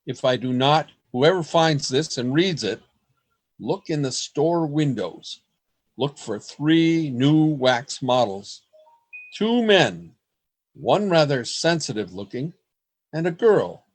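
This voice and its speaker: Man acting desperate sensitive diarist, radio drama, slightly high male voice, slow earnest delivery. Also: lively intonation. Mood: grim, urgent. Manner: earnest, melodramatic, deliberate delivery. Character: sensitive, desperate man writing a frantic last journal.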